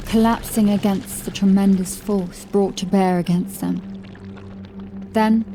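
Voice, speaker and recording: A woman narrator reading scripted horror fiction in a measured, deliberate, dramatic storytelling style. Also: mid-range voice. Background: music.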